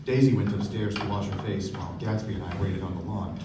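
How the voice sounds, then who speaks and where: A man's voice: deep voice, steady tone, fast talker, natural voice. An actor playing a polite, wry young man who narrates a radio play. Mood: narrating, measured. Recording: very echoey, distant, noisy stage recording.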